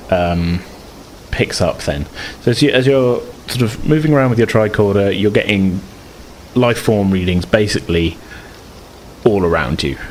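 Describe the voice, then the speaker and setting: Man, game master, very low pitch, hesitant improvised narration, hedging, slight background noise. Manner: hesitant, hedging descriptions with "sort of".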